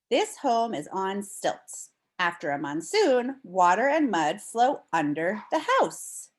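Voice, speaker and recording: An American woman reads aloud like a teacher to young children. Her pace is slow and deliberate, and her mid-pitched voice moves up and down in a lively way as she explains things to her listeners.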